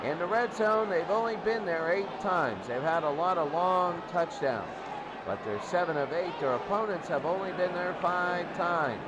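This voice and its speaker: Male sports commentator, fairly high-pitched, unscripted stat rundown, very noisy recording. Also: animated, moderate pace. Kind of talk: unscripted statistics rundown before a game.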